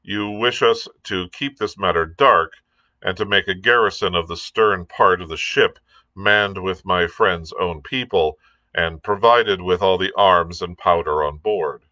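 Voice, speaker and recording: A man reading a storybook, voicing a calm, reasonable gentleman doctor who speaks in a measured, courteous, wry way. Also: low voice, no echo. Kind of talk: audiobook character reading.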